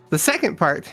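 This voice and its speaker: A guest speaks very slowly, drawing words out and joking easily in an unhurried casual chat. The voice sits at a middle pitch, with faint music running behind. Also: a bit bright. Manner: slow, drawn-out, joking. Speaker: stream guest.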